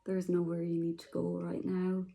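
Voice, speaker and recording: A woman guiding a meditation, speaking softly and slowly with long pauses. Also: lowish voice, monotone, vocal fry. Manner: slow, soft, soothing, with long pauses. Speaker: meditation guide. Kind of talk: guided meditation.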